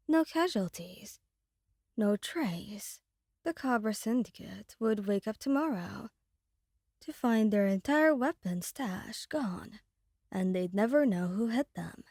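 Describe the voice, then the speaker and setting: A woman's voice: a little high, mellow, no echo, studio quality. A woman giving dramatic, scripted second-person narration for an ASMR audio roleplay.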